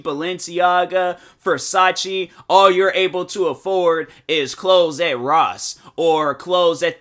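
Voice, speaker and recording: A man's voice: fairly high pitch, pushed and bright. A man in his twenties hosting a solo podcast, giving a rambling motivational talk full of filler words. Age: 20s. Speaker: podcast host. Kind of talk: casual solo podcast monologue.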